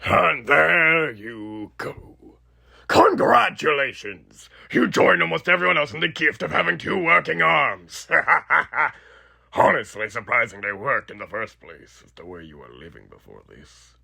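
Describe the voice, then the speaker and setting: A man voicing a sadistic, elderly undead killer animatronic in a horror roleplay, raspy and theatrical, with mocking menace and muttered asides. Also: fairly high pitch, expressive, measured pace, bright, forceful tone, exaggerated voice. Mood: gleefully sadistic. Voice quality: raspy, gravelly.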